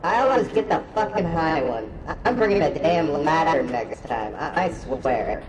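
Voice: mid-range voice, animated, normal speed, a little hiss or hum, natural voice, low-quality recording. An actor voicing a small, irritable, sardonic sheet ghost in a radio play. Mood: irritated. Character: grumpy foul-mouthed small sheet ghost being, weary trickster.

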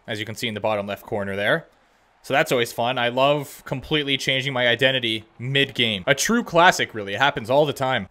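A man's voice: mid-range voice, bright, forceful tone. A young man giving casual, slangy gameplay commentary on a hockey video game, with playful, self-deprecating asides. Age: young adult. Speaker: gaming video host playing a hockey game. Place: indoors at a home gaming setup. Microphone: close desk microphone, wearing headset.

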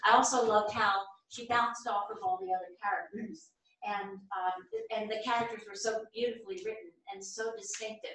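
A woman, a stage actress, reflecting informally on her role in a halting way with restarted phrases. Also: medium pitch, fairly even intonation, a bit bright. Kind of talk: informal post-performance reflection. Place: small theatre stage, distant camera. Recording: echoey, distant theatre recording, some noise.

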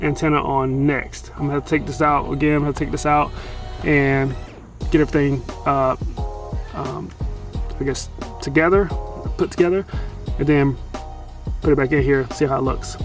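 A man's voice: mid-range voice, fairly even intonation, normal speed, soft background music. Rambling man filming a truck install. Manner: rambling, self-correcting, filler-heavy. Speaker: DIY vlogger installing a CB radio. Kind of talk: casual how-to narration while working. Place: inside a pickup truck cab and garage.

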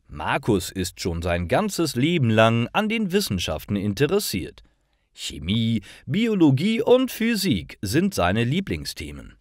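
Slow, expressive male story narrator. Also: medium pitch, no echo, studio quality. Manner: careful, clearly enunciated reading. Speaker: narrator of language-learning stories. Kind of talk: scripted storytelling for learners.

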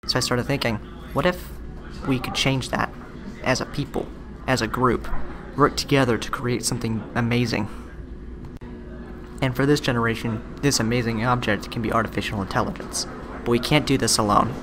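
A man's voice: medium pitch, steady tone, moderate pace, pauses often. A teenage boy giving an earnest motivational speech about working together. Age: teens. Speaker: student speaker. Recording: noisy recording.

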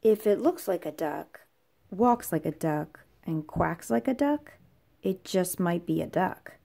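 Animated woman reading a children's comic aloud. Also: lowish voice, a little slow, creaky. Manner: playful, switches tone between characters. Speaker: read-aloud narrator. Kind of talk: children's comic read-aloud, acting out dialogue.

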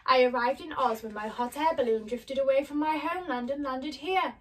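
A woman's voice: sits high, normal speed, distant mic, pitched above the performer's own voice, natural voice. A woman voicing a little man who poses as a grand storybook wizard, speaking formally and emphatically. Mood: apologetic.

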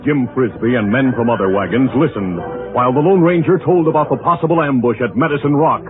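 A man's voice: mid-range voice, fast, music in the background. Tinny old-time radio narrator, a man. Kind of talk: dramatic scripted 1930s radio narration.

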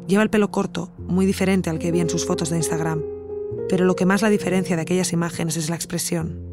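An actress with a Spanish accent from Spain playing an earnest, reflective woman investigator who narrates her audio documentary in a measured, intimate way. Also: lowish voice, natural voice. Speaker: podcast narrator and protagonist. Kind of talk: intimate scripted narration and natural dialogue in an audio drama.

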